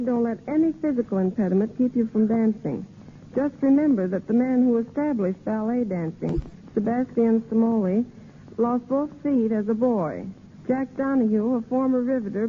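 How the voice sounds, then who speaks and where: A young woman speaks as a scripted guest on 1930s radio. Her voice is rather high, heard through a thin old broadcast with slight hiss. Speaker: radio guest, dance director. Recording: noisy, narrow-band 1930s radio recording.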